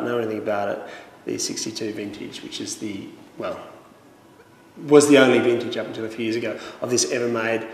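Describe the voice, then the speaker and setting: Man, 30s, gravelly, casual promo chat, recorded from a distance in a roomy cellar. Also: lowish voice. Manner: offhand, chatty, fumbles and restarts. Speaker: wine promoter. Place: indoor wine cellar with barrels. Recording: echoey roomy hall, faint noise.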